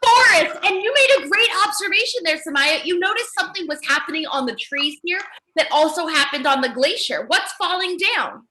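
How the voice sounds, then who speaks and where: Female early-years teacher, high and very lively pitch, animated read-aloud style, encouraging. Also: a little fast. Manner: animated, encouraging praise and questions; repeats children's answers back. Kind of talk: interactive children's read-aloud lesson.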